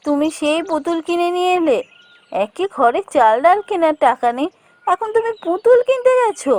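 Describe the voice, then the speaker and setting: Casting: woman playing reproachful village wife and mother, high voice swooping with exasperation, cartoon with music behind. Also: lively intonation, normal speed, a little mellow. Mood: scolding. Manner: reproachful, nagging. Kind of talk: cartoon voice acting.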